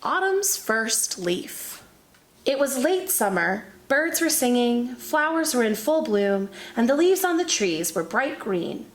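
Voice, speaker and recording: Slow expressive woman reading a picture book. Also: fairly high pitch. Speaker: teacher. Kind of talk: children's read-aloud narration. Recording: echoey room.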